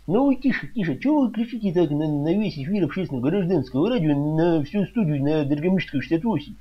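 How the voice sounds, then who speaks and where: A man's voice: a little high, expressive, quick pace, breathy edge. Male comedy radio host: flustered, self-important, stuttering, swooping intonation, dull lo-fi sound. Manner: stammering, hesitant, fussy. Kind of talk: comedy radio sketch acting.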